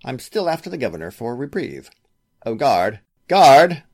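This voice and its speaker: An actor playing a grave, reassuring lawyer and loyal friend in a 1940s-style radio drama. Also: male voice, medium pitch, big pitch swings, normal speed, darkish tone.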